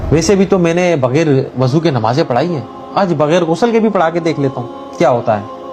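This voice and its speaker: Male storyteller as fretful village imam in a parable, self-justifying, colloquial, fairly high voice, faint music. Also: lively intonation, fairly quick, a little mellow, close to an everyday voice.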